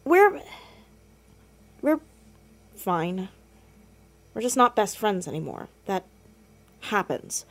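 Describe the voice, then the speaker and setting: A woman's voice: mid-range voice, big pitch swings, takes it slowly, pauses often, a bit bright, exaggerated voice. A young woman playing a blunt, sardonic, self-conscious teenage girl in a naturalistic audio drama, easily exasperated. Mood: subdued.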